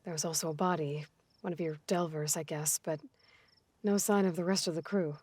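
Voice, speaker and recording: A woman's voice: sits low, steady tone, a little fast, a little mellow, breathy edge, no echo. A woman voicing a level-headed, skeptical young heroine in a video game, questioning in a pointed, understated way. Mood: sober. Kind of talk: video game cutscene dialogue.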